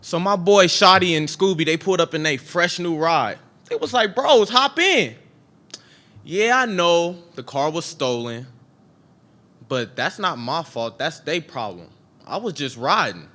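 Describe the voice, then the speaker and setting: A man's voice: a little high, expressive, normal speed, pushed and bright. A man performing a slangy, emotional stage monologue as a scared young street kid. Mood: animated storytelling. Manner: slangy, emotional storytelling, acting out each speaker. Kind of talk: dramatic spoken monologue on stage. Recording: piano music playing behind the voice.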